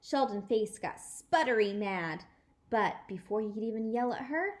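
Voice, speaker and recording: A woman storyteller reading a children's book aloud in an animated, emphatic way. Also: a little high, normal speed. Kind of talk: expressive children's book read-aloud in a child narrator's words.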